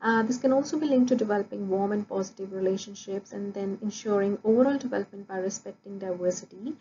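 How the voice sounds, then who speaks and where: Woman student, warm low-key tone, steady listing delivery, dull lo-fi recording. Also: fast. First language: Punjabi. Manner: steady, listing points with frequent "uh" fillers. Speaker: student presenting an assessment. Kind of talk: prepared academic presentation. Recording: dull lo-fi audio with faint noise.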